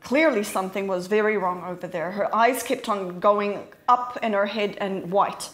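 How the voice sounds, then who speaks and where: A woman's voice: medium pitch, normal speed. A young woman telling stories animatedly, acting out the voices of the people in them, in a seated discussion. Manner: animated storytelling with quoted voices. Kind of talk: conversational discussion. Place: small room with sofas, indoors. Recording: roomy indoor sound.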